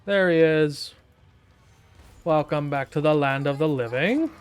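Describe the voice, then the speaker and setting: A man with a slightly high voice comments casually on a game he is playing. He is laid-back and slangy, talking like a streamer to viewers. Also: unhurried, clean tone, soft background music. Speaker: gaming streamer.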